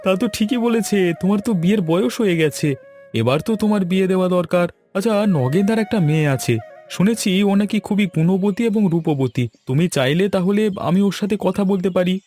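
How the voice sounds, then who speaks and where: A man's voice: high-pitched, moderate pace, a little mellow, faint music behind, lightly acted. A man voicing a chatty, persuasive village matchmaker in a cartoon. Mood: coaxing. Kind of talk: Bengali cartoon dialogue.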